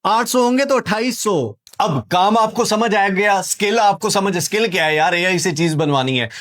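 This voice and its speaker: A man with a high voice and a Pakistani accent walks viewers through an online how-to. His delivery is informal and emphatic, and he keeps repeating points and posing rhetorical questions to check they follow. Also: normal speed, a bit bright. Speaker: online skills tutor and video host. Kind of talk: informal tutorial narration, walking viewers through websites.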